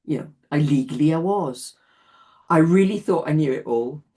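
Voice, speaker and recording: Low-voiced woman teaching an online class. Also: moderate pace, dark tone, breathy edge. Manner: reassuring, repeats "yeah", self-deprecating laughs. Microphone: video call.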